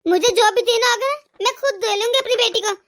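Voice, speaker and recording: A woman voicing a domineering, sharp-tongued older mother-in-law in an animated family drama, speaking in emphatic rhetorical questions. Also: very high-pitched, brisk. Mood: angry, scolding.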